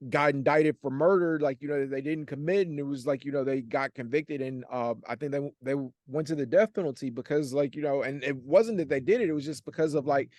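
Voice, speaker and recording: A man's voice: fairly high pitch, fairly quick, hardly any pauses, darkish tone, no echo. A man hosting an interview, asking questions and riffing with constant fillers like "like, you know". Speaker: interview host. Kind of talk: casual interview conversation.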